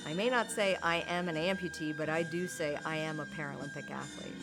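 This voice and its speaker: A woman's voice: low voice, steady tone, fairly quick, over music. A woman, a Paralympic skier, tells her own story in a documentary interview, speaking candidly and often breaking into laughter mid-sentence.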